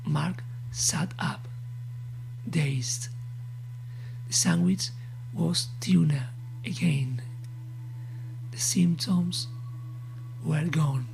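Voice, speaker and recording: A woman narrating a scripted horror story in a hushed, breathy, measured way. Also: deep voice, slight background noise, poor audio.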